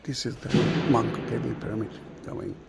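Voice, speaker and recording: A man whose first language is Hebrew, guiding a slow, halting walking tour inside a large stone church, recorded on a camera mic. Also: medium pitch, level tone, vocal fry, over loud music. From Israel. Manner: slow, halting, with many fillers. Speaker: tour guide.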